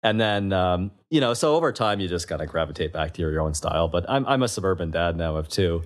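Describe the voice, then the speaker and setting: A deep-voiced man in his forties from western Canada chats as a podcast guest. He tells lively stories, laughs often, and trips over his own restarts. His pitch sits very low. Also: fast talker. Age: 40s. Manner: animated storyteller, laughs often, stumbling restarts. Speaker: guest, investment CEO. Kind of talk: casual interview chat.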